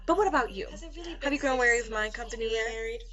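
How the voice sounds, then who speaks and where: A woman's voice: fairly high pitch, quick pace, muffled recording, a crowd in the background, lightly acted. A woman playing a devoted young newlywed wife in an old-fashioned radio play, genteel and playful. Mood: playful, affectionate. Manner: genteel, formal phrasing.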